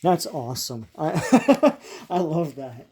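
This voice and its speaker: Older man, dark mellow voice, musing casually. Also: on the high side, sing-song, husky. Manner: halting, musing, informal. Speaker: host leafing through vintage comic strips. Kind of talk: casual, unscripted show-and-tell commentary.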